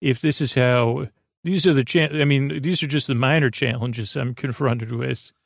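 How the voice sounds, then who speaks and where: A man with a creaky voice hosting a sleep podcast, telling meandering stories in a halting, digressive, self-deprecating way. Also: medium pitch, no room sound, clean studio sound. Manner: halting, digressive, full of false starts and trailing tangents; self-deprecating, gently absurd musing. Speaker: podcast host.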